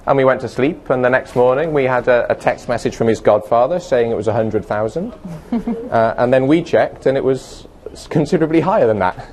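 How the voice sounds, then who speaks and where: A man's voice: medium pitch, brisk, darkish tone. A man interviewed in a studio as a guest, chatty, a little hesitant and wry. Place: broadcast studio.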